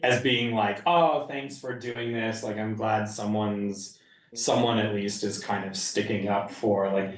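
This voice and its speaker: A man leading a casual group discussion, halting and hedging as he thinks aloud. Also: on the low side, fairly even intonation. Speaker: reading group discussion leader. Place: indoor room at a group meeting. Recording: echoey room, distant mic.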